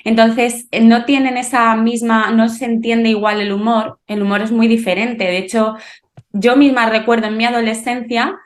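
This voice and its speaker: A woman's voice: on the high side, moderate pace. Woman from Madrid with a Madrid accent, echoey room. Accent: Madrid Spanish. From Madrid, Spain. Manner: animated storyteller with frequent restarts. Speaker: English teacher and guest. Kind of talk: casual podcast conversation.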